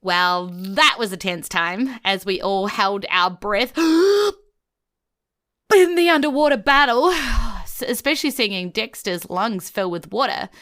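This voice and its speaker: A woman's voice: medium pitch, lively intonation, slow, a bit bright. A woman with a New Zealand accent hosting a podcast in a chatty, giggly way, as its dungeon master. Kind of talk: casual podcast outro chat. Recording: music behind the voice.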